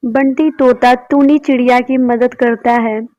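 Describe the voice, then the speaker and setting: Slow high-pitched woman storyteller, muffled. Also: fairly even intonation, warm tone. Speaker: narrator. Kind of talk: scripted children's story narration. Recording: muffled, dull sound.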